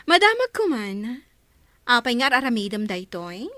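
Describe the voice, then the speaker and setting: Woman as coy young woman, very high voice. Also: big pitch swings, slow, bright, forceful tone, no echo, full character voice. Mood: sly. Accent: Filipino. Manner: playful, giggly, coy. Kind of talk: amateur audio drama acting.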